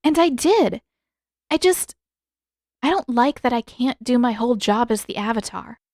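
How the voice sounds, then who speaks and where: A woman's voice: fairly high pitch, animated, moderate pace, darkish tone, no echo, clean studio sound, voice pushed up. A woman voicing an earnest, conflicted teenage girl in a fan fiction audiobook, speaking with some hesitation. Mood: regretful.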